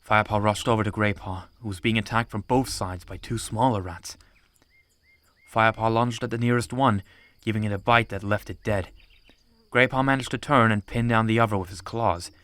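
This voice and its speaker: A man's voice: on the low side, steady tone, normal speed, a bit bright, no echo. A man reading scripted audiobook narration of an animal adventure story.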